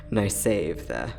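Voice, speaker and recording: A man playing a friendly, teasing time-traveling inventor, soft-spoken and chatty in an ASMR roleplay audio drama. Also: lowish voice, vocal fry, full character voice. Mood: playful, teasing. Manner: soft-spoken, chatty, playful, with frequent chuckles.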